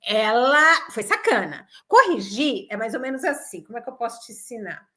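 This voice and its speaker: Female co-host, high and animated, firm opinionated talker. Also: moderate pace. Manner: emphatic, opinionated, animated storytelling. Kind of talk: casual chat.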